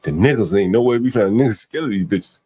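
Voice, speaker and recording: A man's voice: lowish voice, expressive, fast, mellow, husky, no room sound. An American man hosting casual reaction commentary with co-hosts, talking in profane, slangy street speech.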